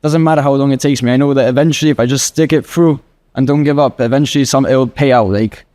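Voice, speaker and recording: A young man in his early twenties answers questions with earnest, rambling sincerity, leaning on filler words as he thinks aloud. His voice sits at an ordinary pitch with a mellow, warm tone. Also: steady tone, fast. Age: 20s. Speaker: guest trader. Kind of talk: casual interview answers.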